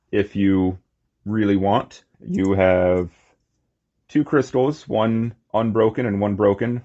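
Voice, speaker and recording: A man giving a casual, unscripted hands-on toy review, talking in short, halting phrases on a slightly muffled recording. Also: lowish voice, mellow. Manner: halting, short phrases while handling objects.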